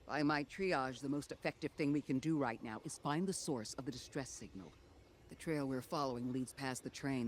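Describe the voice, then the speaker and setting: A man's voice: medium pitch, slight background noise, lightly acted. A man voicing a precise robot companion. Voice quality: measured, clipped and precise. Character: calm analytical male robot companion. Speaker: companion guide character. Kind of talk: video game voice acting.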